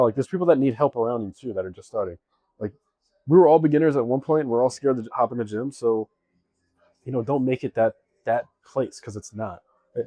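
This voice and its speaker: Young male vlogger with a head cold, nasal and slightly raspy, mid-pitched, dry close sound, loose rambling delivery with casual cursing. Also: dark tone, dry recording. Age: young adult. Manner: rambling, laid-back, swears often, lots of "like". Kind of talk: casual motivational vlog.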